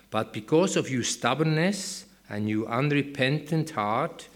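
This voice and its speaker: Slow Swiss-accented man reading scripture. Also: mid-range voice. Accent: Swiss German. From Switzerland. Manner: measured, careful reading aloud. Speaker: Bible reader.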